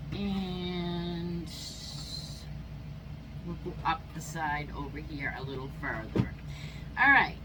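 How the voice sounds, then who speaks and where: Slow-talking stuffy-nosed woman crafter. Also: background noise. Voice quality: slightly squeaky. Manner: chatty, narrates each step as she works. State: stuffy nose with sniffles. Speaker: craft channel host. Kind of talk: casual how-to crafting chat.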